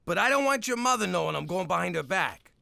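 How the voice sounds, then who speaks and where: A man voicing a gruff, blustery middle-aged father who owns a club and gives in to his daughter. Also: high voice, big pitch swings, fast talker, noisy background, full character voice. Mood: pleading. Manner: blustery, shouts when upset.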